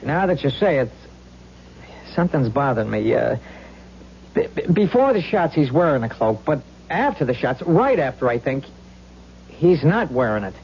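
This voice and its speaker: A man's voice: mid-range voice, big pitch swings, moderate pace, vocal fry, long pauses, a little mellow. An actor playing a flustered, confused male witness who stammers hesitantly, in a 1970s radio drama. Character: flustered, confused witness groping for his memory. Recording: dull, noisy old radio recording.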